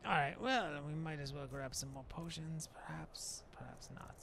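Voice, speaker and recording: Muttering, creaky man playing a rambling elf shaman. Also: fairly high pitch, moderate pace. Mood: musing, hesitant. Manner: hesitant, rambling, muttering with soft asides. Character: muttering, hazy-minded Dark Elf shaman, male, musing aloud. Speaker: player roleplaying his game character. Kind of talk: in-character video game roleplay commentary. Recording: noisy background with game ambience.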